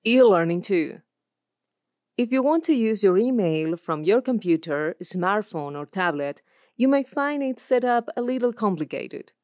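A woman's voice: mid-range voice, lively intonation, a little slow, darkish tone, no echo, studio quality. A woman from Argentina with an Argentine Spanish accent, a native Spanish speaker, reading scripted commercial and e-learning narration as a voice-over artist.

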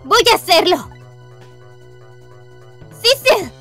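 A woman playing a stubborn, spirited young detective in a game, with a very high voice. She speaks Castilian and delivers lines dramatically over faint music. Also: very animated, extremely slow, fairly bright tone, full character voice. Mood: determined, urgent. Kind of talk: live fan dubbing of a visual novel game.

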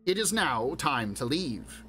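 A man voicing a smooth-talking roguish quarry worker who spins evasive explanations, in an audiobook reading. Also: mid-range voice, very animated, takes it slowly, sharp tone, a little hiss or hum, exaggerated voice. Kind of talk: audiobook character voice.

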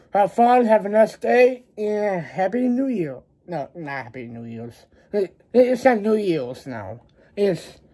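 A man in his early twenties chatting casually to a vlog audience in a halting, rambling way, often repeating words and short phrases. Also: high-pitched, a little mellow. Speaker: vlogger. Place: small laundry room beside a kitchen at home, indoors. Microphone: phone at arm's length.

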